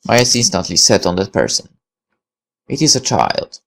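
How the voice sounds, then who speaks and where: A man reading a first-person visual novel aloud as narrator, dramatic and deliberate, with halting pauses. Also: lowish voice, sing-song, vocal fry, fairly bright tone.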